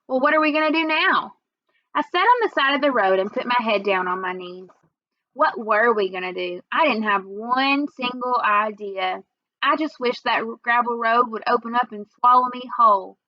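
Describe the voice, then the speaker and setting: A woman's voice: on the high side, moderate pace, no room sound. A woman reading a children's book aloud as narrator, with a slightly muffled sound. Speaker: read-aloud reader.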